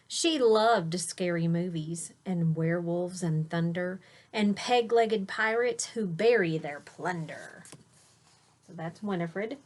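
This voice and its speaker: A woman reading a children's picture book aloud expressively, stressing key words and pausing to explain things simply for young listeners. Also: mid-range voice. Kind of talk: storybook read-aloud with simple explanatory asides.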